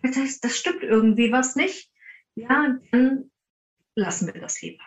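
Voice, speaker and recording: A woman's voice: sits high, expressive, a little slow. A woman answering interview questions informally as a paranormal investigator. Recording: dull, muffled band-limited sound.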